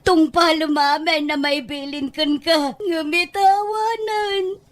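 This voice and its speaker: Woman acting high-voiced, grudging, fussy mother. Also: steady tone, takes it slowly. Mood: resentful. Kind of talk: Ilocano audio drama acting.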